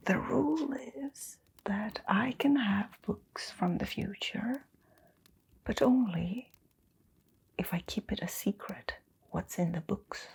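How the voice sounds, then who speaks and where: A woman playing a kindly, mysterious keeper of a magical old bookshop in a hushed, soothing ASMR storytelling roleplay, pausing often. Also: mid-range voice, creaky, husky, full character voice. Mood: secretive, gentle. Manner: slow, hushed, soothing, with long pauses.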